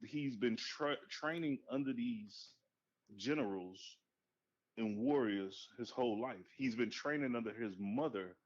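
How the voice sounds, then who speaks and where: A man co-hosting a podcast in casual movie chat, animated and profane, jumping to high excited outbursts. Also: a little slow. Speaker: podcast co-host. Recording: dull lo-fi sound.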